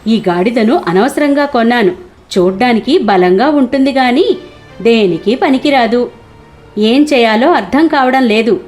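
A woman's voice: a little high, lively intonation, a little slow, darkish tone, faint music behind. A woman voicing a bad-tempered, scolding male merchant who grumbles in exasperation in a cartoon moral story. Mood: exasperated.

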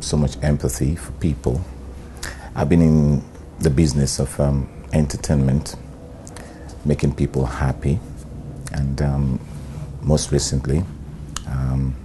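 Middle-aged Nigerian man, very low voice, warm dark tone, lapel mic, hesitant interview talk. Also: a little hiss or hum. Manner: relaxed, hesitant with many ums and you knows. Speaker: actor. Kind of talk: sit-down interview monologue. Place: bright studio room, indoors.

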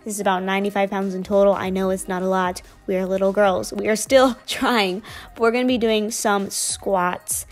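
A woman's voice: mid-range voice, flat delivery, moderate pace, soft background music. A young woman narrating her gym workout in a casual, upbeat voice-over. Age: young adult. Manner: casual, chatty, upbeat explaining. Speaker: fitness vlogger.

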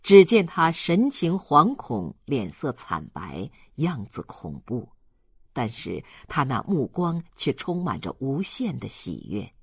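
A man's voice: mid-range voice, huge pitch swings, slow, creaky, breathy edge, dry recording, clean studio sound. A man narrating a novel in a dramatic, scripted audiobook style.

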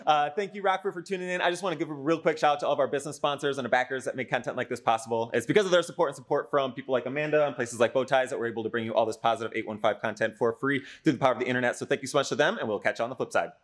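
A man hosting a friendly local interview in a pet grooming salon, upbeat and energetic. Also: medium pitch, very fast, few pauses, echoey room. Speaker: host.

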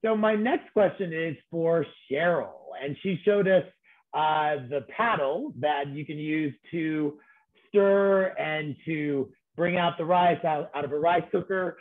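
Phone-like, higher-voiced man hosting. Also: slow. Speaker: panel host. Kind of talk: conversational event moderating. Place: video call from a room at home. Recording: thin phone-like video call audio.

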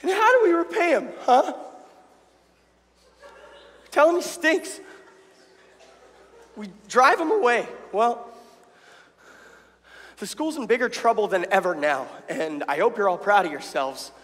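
Very high-voiced indignant schoolboy played by a man. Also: sing-song, a little slow, pauses often, heavily acted. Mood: indignant, rousing. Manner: loud, exclamatory, melodramatic. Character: indignant, emotional, clumsy teenage schoolboy hero's sidekick. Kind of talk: comedic staged reading of a musical parody. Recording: reverberant stage hall.

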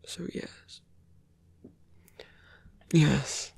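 A man playing a seductive, embittered slum-born villain in a fantasy ASMR roleplay, speaking slowly and intimately in a breathy, gravelly half-whisper. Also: darkish tone, no echo. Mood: bitter, intimate. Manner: slow, intimate, half-whispered, deliberate pauses.

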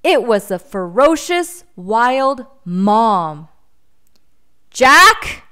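A woman reading a children's storybook aloud in an expressive, dramatic, deliberate style. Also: mid-range voice, clean tone. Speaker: storybook reader.

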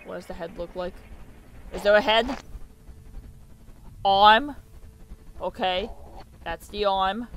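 A man's voice: high-pitched, slow, long pauses, a bit bright, slight background noise, low-quality recording. A young man giving casual reaction commentary and reading captions aloud, with muttered asides, on a close mic in a small room. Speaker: reaction video host.

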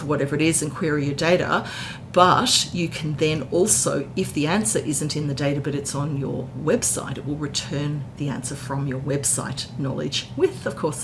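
Woman, forties, deep voice, keen explainer delivery, tech presenter, indoor room, noticeable rumbly noise. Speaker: tech content creator, presenter. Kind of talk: informal explainer recap to camera. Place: indoor hotel-style room. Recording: clear background noise with low rumble.

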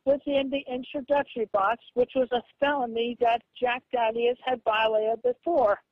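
A woman on a lo-fi phone call, complaining in a halting, repetitive way with stiffly formal wording. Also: on the high side, level tone, no echo. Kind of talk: aggrieved phone conversation. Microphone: telephone. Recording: dull, lo-fi phone line.

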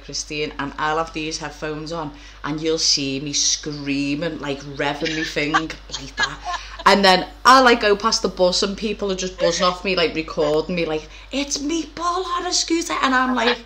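A woman's voice: deep voice, bright, forceful tone, slight background noise. A woman, hosting a casual video-call chat from home on a headset, chatty and swearing freely. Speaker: podcast host. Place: small room at home, indoors. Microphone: over-ear headset on a video call.

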